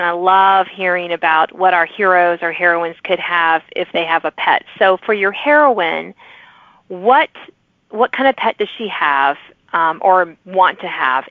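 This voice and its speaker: A woman's voice: fairly low pitch, dry recording. A woman hosting a podcast interview, conversational and encouraging, affirming her guest and putting questions. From lives in Virginia, United States. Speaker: podcast host, author. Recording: thin phone-like band, dry.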